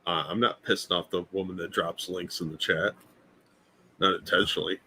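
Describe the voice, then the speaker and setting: Man, fairly low voice, blunt, crude jokes, casual livestream co-host. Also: steady tone, moderate pace, gravelly, pushed and bright, slight background noise. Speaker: podcast co-host.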